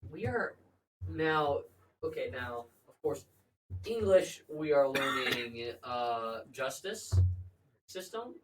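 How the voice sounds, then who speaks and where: A teenage boy co-hosting a comedy podcast with rambling, excitable, mock-indignant banter, often repeating himself. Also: medium pitch, slow pace. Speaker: co-host of a chaotic comedy podcast. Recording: distant mic with clear background noise.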